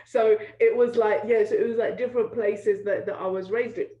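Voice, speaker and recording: A woman's voice: mid-range voice, brisk, roomy sound, highs cut off. A woman in her thirties, born in northern Iraqi Kurdistan, speaks candidly as an interview guest over a video call from home, talking with her hands. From Kurdistan, northern Iraq. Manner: animated, talks with her hands. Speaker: guest, business coach.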